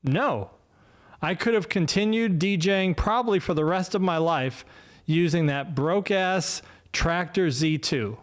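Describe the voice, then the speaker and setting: A man in his fifties hosts a loose solo livestream, slow and unhurried, his voice sitting a little high for a man. He rambles, restarts his sentences and pokes fun at himself. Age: 50s. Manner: rambling, self-deprecating, many fillers and restarts. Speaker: podcast host. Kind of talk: casual solo livestream chat. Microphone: desk mic, no headphones.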